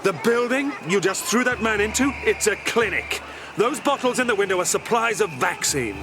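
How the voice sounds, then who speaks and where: An actor playing a bumbling but quick-witted eccentric time traveller in an audio comedy, with theatrical, hesitant stammering. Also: male voice, high-pitched, animated, music in the background. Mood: excited. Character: bumbling, fast-thinking eccentric time traveller feigning alarm. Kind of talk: comedic sci-fi audio drama acting.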